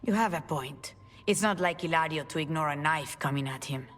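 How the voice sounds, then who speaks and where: A motherly yet commanding matriarch in a video game. Her voice is low and wry, over faint music. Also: fairly quick, fairly bright tone. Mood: brisk, exasperated.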